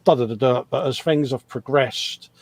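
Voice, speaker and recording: A man's voice: mid-range voice, normal speed. A man, a fellow model maker, chatting matter-of-factly on a live stream, often saying "you know". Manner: conversational and matter-of-fact, with frequent "you know". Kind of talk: casual chat on a livestream.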